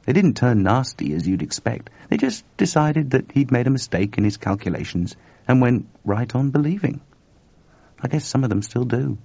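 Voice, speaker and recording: A man reading the part of a gruff, skeptical male engineer who talks dryly and growls his replies. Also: mid-range voice, sing-song, moderate pace, dark tone, no room sound. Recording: dull, dry lo-fi recording.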